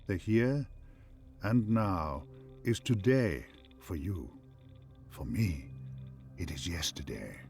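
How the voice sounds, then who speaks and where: A man playing a calm, wise, once-human artificial intelligence for a game, speaking in measured, formal phrases. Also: low voice, faint music behind, heavily acted. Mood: solemn, reflective. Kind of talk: scripted game companion voice acting.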